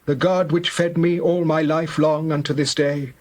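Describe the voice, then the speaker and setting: An actor playing an aged, dying Hebrew patriarch in a dramatized scripture reading, husky, grave and deliberate, solemn and tender. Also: male voice, fairly high pitch, level tone, darkish tone, natural voice. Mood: tender blessing.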